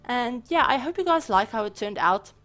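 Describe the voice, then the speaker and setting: A woman hosting a gaming channel, talking casually over her gameplay with a hoarse, raspy voice, chatty and apologetic, full of fillers. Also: fairly high pitch, lively intonation, moderate pace, a bit bright. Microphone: home voice-over mic.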